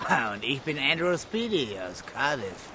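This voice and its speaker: An actor playing a gruff, stubborn male ship captain in a radio play, answering in short, blunt replies. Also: mid-range voice, very animated, unhurried, background noise. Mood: curt.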